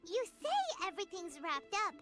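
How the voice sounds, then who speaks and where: A woman voicing a tiny, excitable childlike fairy companion in a video game, with a squeaky, exclamatory delivery. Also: normal speed, full character voice. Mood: insistent. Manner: exclamatory, refers to self in third person. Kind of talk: video game character acting. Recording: game music behind the voice. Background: music.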